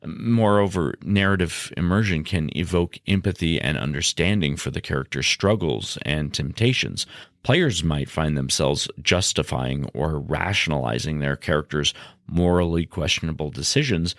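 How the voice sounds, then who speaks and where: Male podcast host, extremely low voice with creaky edge; measured, formal explainer delivery. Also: no room sound, clean studio sound. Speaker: game master. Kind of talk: scripted explanatory podcast narration.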